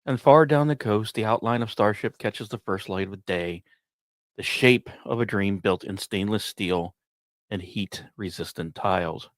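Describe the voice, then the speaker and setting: A man hosting a podcast, reading scripted narration in a measured, dramatic storytelling way with occasional conversational asides. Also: on the low side, no echo, studio quality. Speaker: podcast host.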